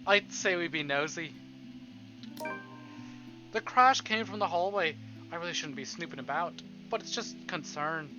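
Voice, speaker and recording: A man's voice: fairly high pitch, animated, normal speed, long pauses, highs cut off, over music. A man streaming a visual novel, reading it aloud theatrically with playful, casual asides.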